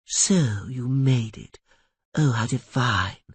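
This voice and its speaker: A man voicing a falsely sweet sorceress in an audiobook reading, in a husky voice with a sugary, drawn-out manner. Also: mid-range voice, dry recording, pitched above the performer's own voice.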